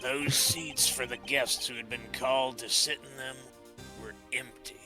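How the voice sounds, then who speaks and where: A man's voice: medium pitch, steady tone, unhurried, over music, natural voice. A man playing an earnest detective in a video game fan dub, explaining things plainly. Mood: reporting. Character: earnest male detective reporting on a crime scene.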